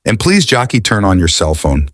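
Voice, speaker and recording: Low-voiced, businesslike TV director played by a man. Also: big pitch swings, moderate pace, a bit bright, no echo, studio quality, full character voice. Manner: formal, mixing English into his speech. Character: serious, businesslike male TV director, direct and commanding.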